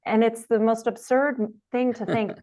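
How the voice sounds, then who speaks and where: A woman's voice: a little high, fairly even intonation, moderate pace, mellow. A woman actor, guest on an interview show, chatting casually and laughing easily over a video call. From Delaware, USA.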